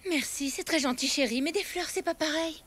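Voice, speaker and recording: A woman voicing a protective, stern but loving mother in a children's cartoon, firm and expressive. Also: high-pitched, fairly quick, heavy background noise, natural voice. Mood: disappointed. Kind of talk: animated children's cartoon dubbing. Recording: music behind the voice.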